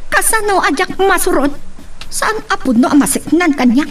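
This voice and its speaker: A woman's voice: very high voice, lively intonation, moderate pace, fairly bright tone, soft background music. A woman playing a wounded, reproachful aunt in a radio family drama. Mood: defensive.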